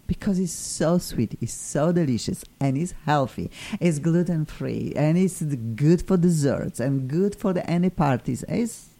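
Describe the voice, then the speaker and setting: A woman with a Polish accent hosting a live radio talk show, excitable and full of sudden pitch swings, with broken English phrasing. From Poland.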